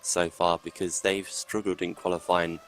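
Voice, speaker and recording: A man's voice: low voice, level tone, normal speed. A man co-commentating on a live sim racing session in a chatty, conversational way, his voice a little worn as he is losing it. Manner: chatty, conversational, laughs easily.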